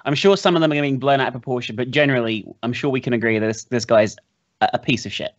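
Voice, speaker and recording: Fast-talking man, rambling and sweary. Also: medium pitch, no room sound. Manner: rambling, hedging, profane. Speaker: podcast host. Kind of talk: casual commentary. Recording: dry, slightly dull podcast audio.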